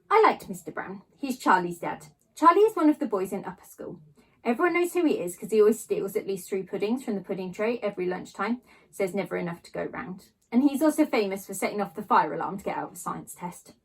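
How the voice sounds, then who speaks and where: A woman reading a children's novel aloud, narrating in a child's first person with expressive storytelling emphasis. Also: medium pitch, slightly rough, rough recording. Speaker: storybook reader.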